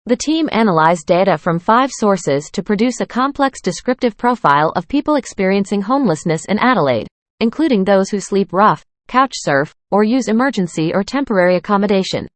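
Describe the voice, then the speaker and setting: Female narrator for read-aloud practice scripts, medium pitch, dry recording. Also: animated, a little fast, dry recording.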